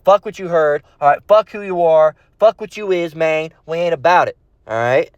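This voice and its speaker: A man's voice: fairly high pitch, moderate pace, clean tone, no room sound. A man preaching off the cuff in a blunt, slangy, confrontational manner, often repeating himself and tagging phrases with short check questions. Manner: slangy, tags phrases with "all right?" and "okay?". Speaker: street-style Bible preacher. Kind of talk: informal impromptu sermon with scripture reading. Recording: dull, dry lo-fi sound.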